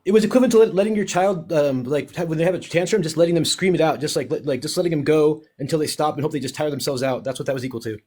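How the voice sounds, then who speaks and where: A man explaining his research in casual chat, halting with restarts and fillers. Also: a little high, warm tone. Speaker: co-host researcher.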